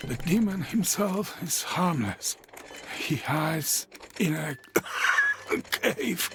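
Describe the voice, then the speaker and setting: An actor voicing a wise, injured house spirit in a fairy-tale game, halting and weak. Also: medium pitch, heavily acted. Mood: pained, urgent. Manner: halting, weak, very slow delivery. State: coughing, injured. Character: wounded, wise house spirit, servant of a tailor. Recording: music under the voice.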